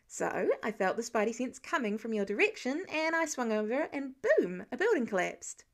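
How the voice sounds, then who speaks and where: Woman playing a chatty teen boy hero, high-pitched, eager, awkward, bouncy intonation, story reading. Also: big pitch swings, normal speed. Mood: earnest, chatty. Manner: rambling, eager, youthful.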